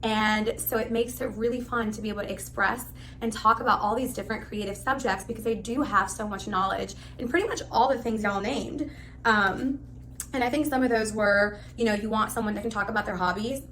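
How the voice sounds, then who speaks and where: A woman in her thirties, originally from Pakistan, giving a spontaneous, rambling, animated self-introduction. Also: medium pitch, fairly quick, a little hiss or hum, rough recording. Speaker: live stream host applicant.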